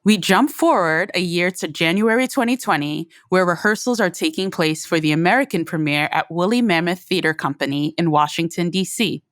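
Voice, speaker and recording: A woman's voice: lowish voice, normal speed, few pauses, no echo, clean studio sound. A woman hosting an audio documentary with scripted narration.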